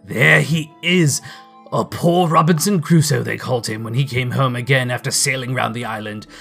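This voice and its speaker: An old miser from a storybook, played by a male narrator, gravelly and full of feeling. He exclaims in a breathless, childlike rush that hovers between laughter and tears. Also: medium pitch, animated, moderate pace, faint music behind. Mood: excited, gleeful. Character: elderly miser Scrooge, excited and tearful like a child. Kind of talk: dramatised storybook reading.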